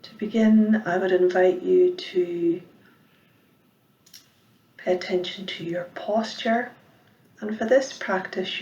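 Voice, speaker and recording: A woman giving guided meditation instruction as a mindfulness teacher, speaking in a measured, gentle way with pauses and soft, breathy phrase endings. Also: mid-range voice, highs cut off. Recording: roomy, echoing sound.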